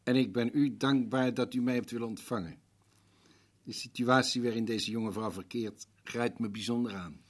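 A man's voice: medium pitch, steady tone, normal speed, natural voice. A man playing an earnest, polite visitor who questions a prison governor in a radio play. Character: male visitor narrating his memory, earnest.

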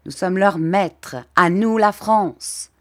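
A woman's voice: mid-range voice, slow, voice pushed up, close to an everyday voice. A woman voicing a young, arrogant male Prussian officer who mocks and boasts in a story reading. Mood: boastful. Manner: sneering, laughing, boastful.

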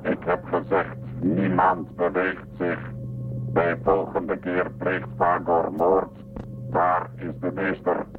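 A menacing killer in a science fiction radio play, very deep and completely monotone. He gives slow, threatening commands and is coldly devoted to his master. The sound is narrow and lo-fi. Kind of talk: science fiction audio drama acting.

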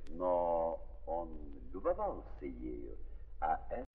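Wildly expressive, raspy narrator. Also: male voice, sits low, slow, full character voice. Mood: scornful, impassioned. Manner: theatrical, emphatic, with dramatic repetitions. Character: ironic, impassioned storyteller of a literary drama. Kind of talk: 1930s Russian dramatic stage narration. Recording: muffled 1930s recording, hall reverb.

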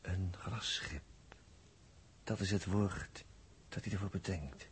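A man's voice: sits low, slow pace. An actor playing an observer who gives dramatic running commentary on what he watches in a radio play. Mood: hushed, puzzled. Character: excitable male observer reporting what he watches. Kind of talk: 1960s Dutch radio play acting. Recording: faintly noisy 1960s radio recording.

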